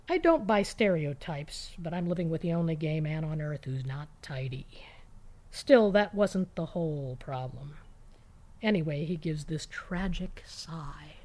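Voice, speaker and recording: Female narrator, lower register, for first-person short fiction; conversational, wry delivery with punchy emphasis. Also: darkish tone. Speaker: author reading own short story.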